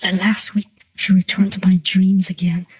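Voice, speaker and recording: A woman in her thirties playing an earnest, troubled client who recounts her haunting in a measured, scripted dramatic monologue. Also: medium pitch, little pitch movement, breathy edge, no echo. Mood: earnest, wistful. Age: 30s. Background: soft music.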